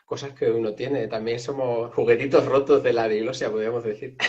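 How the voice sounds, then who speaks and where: A man from Extremadura, a writer and translator, explaining things in a reflective, somewhat hesitant way in a relaxed conversation. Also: medium pitch, moderate pace, dull audio. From Extremadura, Spain. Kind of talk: casual conversation.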